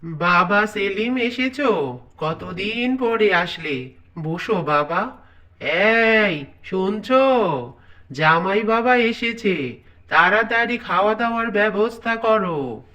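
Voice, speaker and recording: A man voicing a gentle, pleading elderly father in a cartoon, speaking in a drawn-out, placating way. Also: high voice, animated, dull audio, exaggerated voice. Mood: welcoming. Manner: slow, drawn-out, placating speech. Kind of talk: cartoon voice acting.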